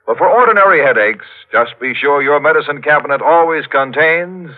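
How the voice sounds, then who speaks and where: A man's voice: a little high, normal speed. A man reading a scripted commercial as a 1940s radio announcer. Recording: tinny, narrow-band old radio broadcast.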